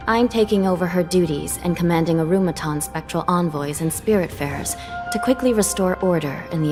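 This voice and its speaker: A woman's voice: on the low side, lightly acted. An actress playing a formal, earnest female judge in a game cutscene.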